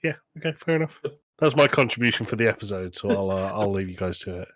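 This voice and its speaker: A man's voice: lowish voice, animated, fast, a bit bright, no room sound. A British man co-hosting a podcast in casual chat, with a dry, joking manner. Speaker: podcast co-host.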